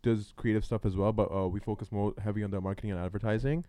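A man's voice: fairly low pitch, fairly even intonation, fast talker, warm tone, no echo. A young man raised in Hong Kong, chatting casually in a loose, rambling way full of fillers. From born in Sri Lanka, raised in Hong Kong. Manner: loose, filler-heavy, calls people bro. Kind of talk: casual chat.

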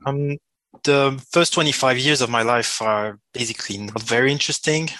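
A man's voice: medium pitch, normal speed, sharp tone, no room sound, poor audio. A native French-speaking man from Switzerland with a Swiss French accent and a slight cold, talking hesitantly as an interview guest. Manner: hesitant, self-correcting. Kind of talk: halting conversational interview talk.

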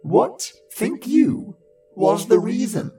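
A man voicing a wily old grandmother in a storybook tale, creaky, coaxing and drawing out the words. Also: very high-pitched, big pitch swings, slow pace, mellow, soft background music, pitched above the performer's own voice, exaggerated voice. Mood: coaxing, sly. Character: devil's old grandmother, wily, soothing, scolding old woman.

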